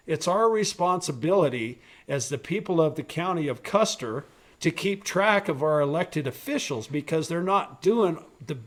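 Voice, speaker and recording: A man with a fairly high voice rants on a local podcast. He is blunt and commanding, swears freely, and gets loud when a point matters to him. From rural western United States, raised on a ranch. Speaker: podcast host. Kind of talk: opinionated political talk.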